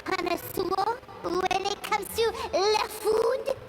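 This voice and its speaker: An actress voicing a tiny, childlike floating fairy companion in an anime game, cutesy, boastful and squeaky. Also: female voice, slow pace, very noisy. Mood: smug. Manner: squeaky, cutesy, third-person chatter. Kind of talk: anime video game voice acting.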